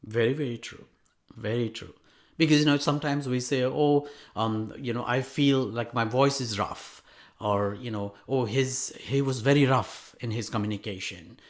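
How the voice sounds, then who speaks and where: A man speaking as a guest expert, explaining things conversationally in a halting way with repeated words. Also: mid-range voice. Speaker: guest expert, Ayurvedic doctor.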